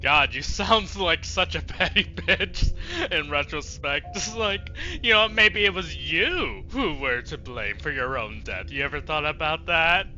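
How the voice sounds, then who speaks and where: A man's voice: a little high, expressive, unhurried, sharp tone, highs cut off, faint music behind. A man giving casual, sweary, sarcastic gaming commentary, talking back to the game as he streams. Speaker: let's play streamer.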